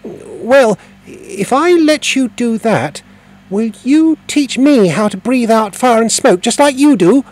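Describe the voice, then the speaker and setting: A man's voice: very high voice, animated, slow, a little mellow, pitched above the performer's own voice, exaggerated voice, rough recording. A man reading aloud and voicing a polite, curious young boy who stammers earnestly. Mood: longing.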